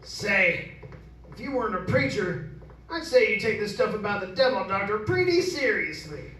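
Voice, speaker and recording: Brash, folksy family man acted by a man, dismissive and high-voiced, old-style radio drama, roomy and off-mic. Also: very animated, normal speed, a bit bright, recorded from a distance, a little hiss or hum. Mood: blustery. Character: brash, skeptical, plain-spoken father, new owner of old house. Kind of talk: radio horror drama acting. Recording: roomy, off-mic, faint music.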